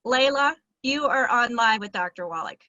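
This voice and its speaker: A woman's voice: a little high, lively intonation, moderate pace, bright, forceful tone, no room sound, highs cut off. A woman hosting a live call-in, introducing callers and prompting the guest, heard over band-limited call audio. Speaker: host moderating a live call-in.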